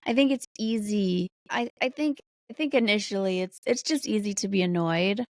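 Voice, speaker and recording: An American woman speaking as a podcast guest in casual conversation, candid and animated, often acting out little quotes. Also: medium pitch, moderate pace, no room sound, studio quality.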